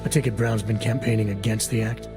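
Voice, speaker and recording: Man playing a low, deadpan gravelly agent. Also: fast talker, lightly acted. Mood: grim, thoughtful. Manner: clipped, deadpan delivery. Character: stoic augmented male agent, terse and guarded. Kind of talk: video game cutscene acting. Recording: music under the voice.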